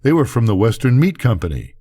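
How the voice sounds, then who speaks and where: Older guy reading as a teasing easygoing rancher husband, folksy storybook voice, pitch moves around a lot. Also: sing-song, fairly quick, mellow. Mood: pleased. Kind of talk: bedtime storybook character voice.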